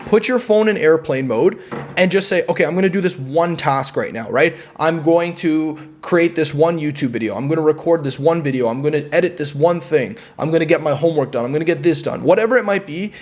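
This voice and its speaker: A man's voice: on the high side, brisk, a little mellow. A young man vlogging, giving casual self-improvement advice in an emphatic way. He often repeats words and adds "right?".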